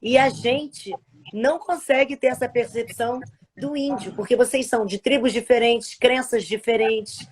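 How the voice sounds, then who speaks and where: A woman's voice: a little high, steady tone, moderate pace, slight background noise. A woman hosting a live video interview, leading her guest with friendly questions and frequent tag questions. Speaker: host. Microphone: video call.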